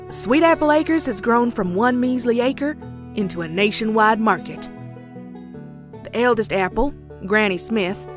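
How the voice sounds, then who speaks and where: A woman's voice: medium pitch, close to an everyday voice. A woman voicing a plucky young country farm pony in a horror comic dub, with a folksy Southern US drawl. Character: young country farm mare, plucky, teasing, later uneasy narrator. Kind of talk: dramatic comic dub with narration.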